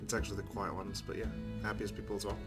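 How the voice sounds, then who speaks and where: A man with a fairly low voice reads story narration from a game at a fast clip. Plucked guitar music plays clearly behind him. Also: little pitch movement. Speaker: let's player reading a game aloud. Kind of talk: reading visual novel narration aloud. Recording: music under the voice.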